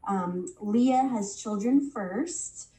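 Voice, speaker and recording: A woman's voice: medium pitch, dark tone, roomy sound, recorded from a distance, rough recording. A woman recovering from a head cold, leading a casual Bible study lesson and stopping often on ums. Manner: unhurried, many ums and restarts.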